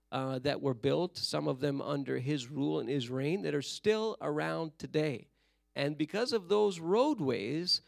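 A slightly hoarse man giving a sermon-style Bible history lesson, telling it in a measured, explanatory way and stretching key words. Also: fairly high pitch, no echo, clean studio sound.

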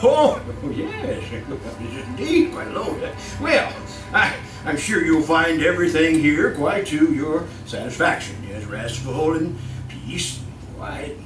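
Shifty employer for live radio play: fussy, evasive, animated intonation, nervous chuckles, heard at a distance over music. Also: male voice, fairly high pitch, slow pace, reverberant. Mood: unctuous, chuckling. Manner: stammering, nervous chuckling, repeats himself. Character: shifty, fussy, giggling male employer with sinister secrets. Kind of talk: old-time radio acting before a live audience.

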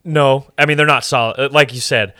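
A man's voice: medium pitch, fairly even intonation, a little fast, pushed and bright, clean tone, no echo, clean studio sound. A man co-hosting a podcast, chatting casually in an easygoing, rambling, hedging way. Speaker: podcast co-host. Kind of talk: casual conversational chat.

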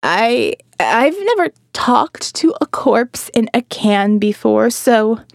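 A woman with a high voice reads dramatic first-person fiction. Her delivery is expressive and wry, and the sound is close and dry. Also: slow pace, vocal fry, dry recording. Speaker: audiobook narrator.

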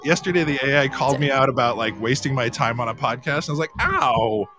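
A man's voice: medium pitch, big pitch swings, quick pace, gravelly. A man hosting a casual live podcast chat, tossing in wry, incredulous asides. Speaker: podcast host.

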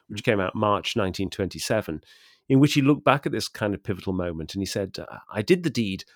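A man co-hosting a literary discussion in a scholarly, measured manner, setting out his points with care. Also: sits low, no echo, clean studio sound. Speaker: podcast co-host.

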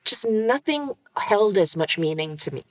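A woman's voice: medium pitch, sing-song, unhurried. A woman from Singapore, a cardiologist guest on a podcast, telling her story with laughter and animation. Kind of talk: podcast interview answer.

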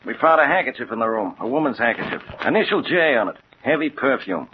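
An actor playing a skeptical, hard-boiled police captain, brisk and wry, in a 1940s radio drama. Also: male voice, mid-range voice, very animated, close to an everyday voice. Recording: dull, noisy 1940s radio recording.

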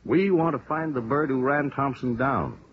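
An actor playing a businesslike American police lieutenant in a vintage radio drama, frank, firm, deliberate and emphatic. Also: male voice, medium pitch, slightly rough, lightly acted. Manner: deliberate, emphatic, matter-of-fact. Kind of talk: 1950s radio drama acting. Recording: muffled, hissy old radio recording.

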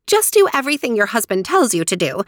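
A fussy fairy godmother type, acted by a woman storyteller, breezy and sing-song. The pitch swoops about freely, and the sound is dry and close, with an evasive, reassuring manner. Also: very animated, fast talker, no echo. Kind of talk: storybook character voice.

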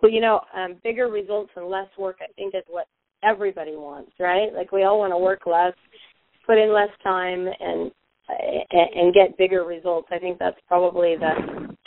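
Podcast host, woman, hoarse and raspy from a cold, chatty; tinny phone-line sound. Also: on the low side, steady tone, moderate pace. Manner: upbeat, chatty, reads guest bio aloud. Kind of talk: casual podcast interview.

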